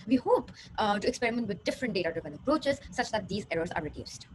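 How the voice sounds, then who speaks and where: A woman's voice: medium pitch, animated, fast. A young woman, a PhD student, giving a rehearsed research presentation. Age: young adult. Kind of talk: rehearsed conference talk. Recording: clearly noisy recording.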